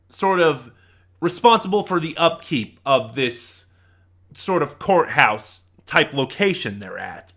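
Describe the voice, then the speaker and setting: Male reviewer, very bright forceful delivery, keen and emphatic, halting off-the-cuff phrasing. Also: fairly high pitch. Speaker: video reviewer. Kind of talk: casual unscripted audio drama review.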